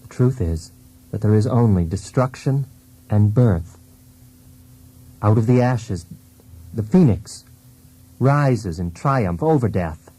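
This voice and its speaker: An actor playing an anguished, dependent husband in a failed marriage, in a brooding, introspective radio drama monologue. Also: male voice, lowish voice, lively intonation, slow pace, pauses often, dark tone, poor audio. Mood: brooding, solemn.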